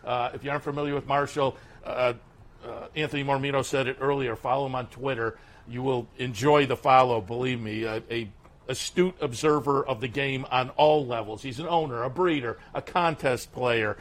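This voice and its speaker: A man's voice: mid-range voice, fairly even intonation, normal speed. Easygoing racing show host, man. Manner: easygoing, filler-heavy intros. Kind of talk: casual radio-style interview. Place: racetrack backstretch, outdoors.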